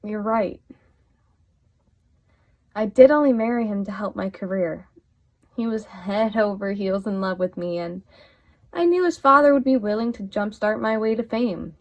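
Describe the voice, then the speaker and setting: A woman's voice: medium pitch, a little slow, long pauses, natural voice. A woman acting a catty, sardonic former star actress in a radio play. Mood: sardonic, confessional.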